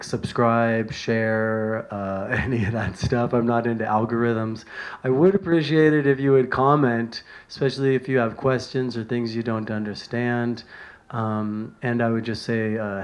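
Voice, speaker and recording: A man from San Francisco giving a self-recorded talk from notes, earnest and halting, with many ums and uhs. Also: mid-range voice, lo-fi sound. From San Francisco, California. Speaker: video lecturer on spiritual writings. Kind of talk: casual talk from bullet notes.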